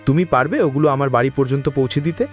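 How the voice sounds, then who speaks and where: A man playing a considerate village landowner in a cartoon, measured in his way of speaking. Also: mid-range voice, natural voice. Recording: background music under the voice.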